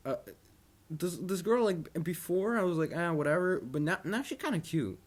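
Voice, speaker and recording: Higher-voiced man, sweary podcast banter. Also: animated, normal speed. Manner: sweary, teasing. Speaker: podcast co-host. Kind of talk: casual banter about manga.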